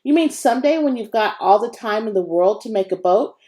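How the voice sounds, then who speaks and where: A woman's voice: on the high side, expressive, fairly quick, mellow, lightly acted. Woman reading as a sardonic, bossy young girl. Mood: challenging, teasing.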